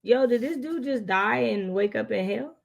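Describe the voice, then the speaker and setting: An American woman co-hosting a casual book chat, telling things in an animated, slangy way. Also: a little high, moderate pace, creaky. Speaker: book readalong co-host.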